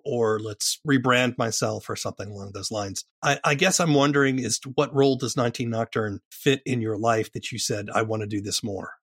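A man's voice: mid-range voice, fairly bright tone, slightly rough, dry recording. Male podcast interviewer, very dry sound. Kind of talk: conversational interviewing.

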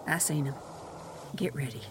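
A woman's voice: low-pitched, expressive, moderate pace, a little hiss or hum. An actress playing a tough, defiant rural woman in an audio drama, curt, folksy and sardonic. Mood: wary, dry. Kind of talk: cinematic audio drama acting.

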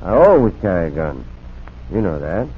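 An actor playing a cornered, folksy outlaw with menace in him, in a 1940s radio drama. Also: male voice, low-pitched, big pitch swings, normal speed, husky, full character voice. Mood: folksy, defensive. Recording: noisy, narrow-band vintage radio recording.

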